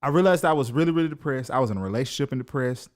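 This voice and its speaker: A man's voice: mid-range voice, very fast. A man in his thirties hosting a podcast, chatting casually and profanely, laughing often. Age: 30s. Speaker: podcast host. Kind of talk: casual group chat.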